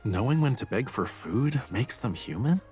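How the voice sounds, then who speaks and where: A man's voice: medium pitch, animated, moderate pace, warm tone, soft background music, close to an everyday voice. An actor playing a young male love interest in a romance game, playful, casual and wry, with teasing delivery.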